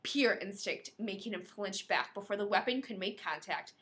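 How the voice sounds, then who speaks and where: A woman co-hosting a podcast, reading a novel aloud in an animated, playful way and breaking off for casual banter. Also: medium pitch, normal speed. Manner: playful, dramatic, often breaks off to comment.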